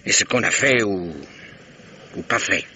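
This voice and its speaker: A sly, grumbling old local. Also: male voice, fairly low pitch, unhurried, pushed and bright, slight background noise. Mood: evasive. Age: older. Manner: blustering, dodging questions, grumbling. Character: old evasive local man, blustering and sly. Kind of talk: 1950s radio drama acting.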